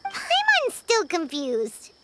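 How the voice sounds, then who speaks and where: A woman voicing a tiny, excitable, easily confused fairy companion in an anime game, with music behind. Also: very high voice, slow pace, very noisy, full character voice. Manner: refers to self in third person, exclamatory.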